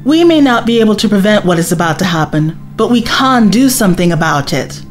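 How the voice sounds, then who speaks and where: A woman's voice: mid-range voice, animated, a little fast, close to an everyday voice. A woman acting a direct, insistent companion to a time-traveller in a science fiction audio drama. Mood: concerned. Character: forthright young female companion of a time-traveller. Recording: music bed under the dialogue.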